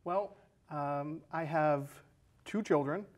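A man's voice: fairly high pitch, slow. A man in his thirties with an American accent, chatting casually as a studio guest. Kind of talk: casual chat. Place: small indoor studio set with curtains. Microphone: lapel.

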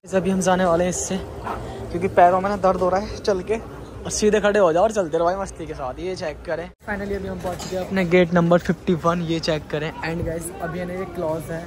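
A young man from India vlogging his trip through an airport onto a plane, chatty and excited, talking to his viewers as guys and mixing in English words. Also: high voice, brisk, noisy background. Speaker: travel vlogger. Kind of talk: excited handheld travel vlog. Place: airport terminal and plane cabin, indoors.

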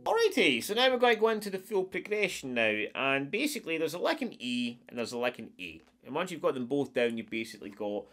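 A man in his forties with a stuffed-up nose, giving a relaxed step-by-step guitar lesson from a small wood-paneled room. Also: a little high, fast talker, hardly any pauses. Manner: friendly, explains step by step with counts. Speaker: guitar teacher. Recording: acoustic guitar playing throughout.

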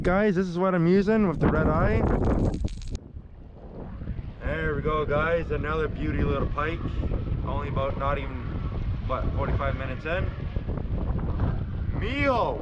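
A man's voice: a little high, big pitch swings, a little slow, pauses often, highs cut off. A young man filming himself fishing from a small boat, hyped and whooping as he talks viewers through each catch. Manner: hyped, whooping, keeps calling out to the guys. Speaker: fishing vlogger. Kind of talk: excited fishing commentary to viewers. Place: small aluminum boat on a windy lake. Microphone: action camera on the boat. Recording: heavy wind noise on the mic. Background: wind and water.

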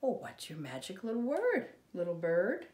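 A woman acts out a bossy storybook farm animal with a swooping, lively voice. It scolds emphatically and throws in exaggerated animal calls, with an echoey room around it. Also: medium pitch, normal speed. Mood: chiding.

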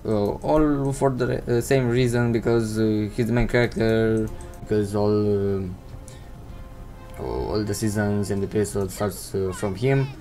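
A teenage boy from Romania, a native Romanian speaker, hosts a casual Q&A vlog in English, pausing often with fillers and non-native phrasing. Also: lowish voice, mellow, faint music behind. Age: teens. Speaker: channel host answering viewer questions. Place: indoors at home, seated in gaming chair. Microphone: desk microphone.

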